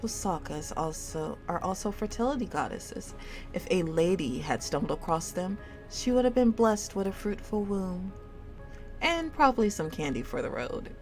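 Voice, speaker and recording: A woman's voice: on the low side, very animated, moderate pace. A woman reading a game's story aloud as a storyteller. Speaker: video game streamer reading dialogue aloud. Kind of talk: storytelling read-aloud of a dating sim game. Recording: music behind the voice. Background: music.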